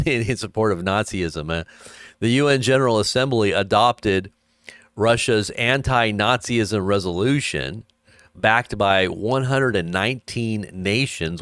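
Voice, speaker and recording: Low, smooth-voiced man doing dry commentary. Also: fairly bright tone, no echo. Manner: reads headlines aloud, then adds wry asides and chuckles. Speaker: host of an online ministry live stream. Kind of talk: casual live-stream commentary reading news headlines.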